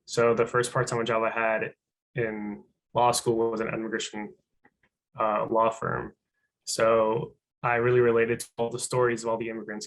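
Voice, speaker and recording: A man's voice: on the low side, a bit bright, rough recording. A young man from Argentina speaking sincerely and casually on a panel, often saying "like". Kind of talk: panel discussion.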